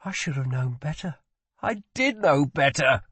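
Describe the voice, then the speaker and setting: A man's voice: on the high side, very animated, normal speed, dry recording, voice pushed up. A man voicing a wounded young prince in an audiobook, petulant and dramatic, playful yet weighed down by guilt. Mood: remorseful. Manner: scornful, dramatic, demanding.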